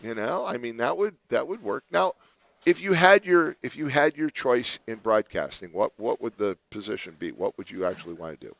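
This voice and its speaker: A man's voice: mid-range voice, animated, moderate pace, gravelly, fairly bright tone, no echo. Chatty male radio host, dry studio. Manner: chatty, laughs often, does playful mock voices. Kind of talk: casual studio banter.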